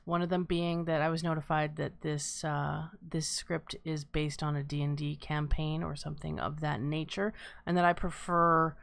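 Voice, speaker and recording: Low-pitched woman hosting a casual livestream. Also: gravelly, dry recording. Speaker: host of live script table read.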